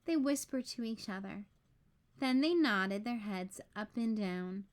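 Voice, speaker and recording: A woman reading a children's picture book aloud as storyteller, with deliberate phrasing for young listeners. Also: mid-range voice, animated.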